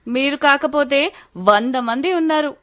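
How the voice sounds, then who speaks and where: A woman's voice: high voice, a little slow, fairly bright tone. A woman voicing a snobbish, dismissive rich young wife in a scripted moral story. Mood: haughty, dismissive. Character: haughty rich young wife who runs a boutique.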